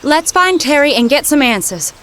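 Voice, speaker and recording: A determined young adventurer, played by a woman in a comic audio drama. Her voice is high and very animated, and she comes across as brisk and assertive. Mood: purposeful. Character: plucky, determined young female time-travelling adventurer.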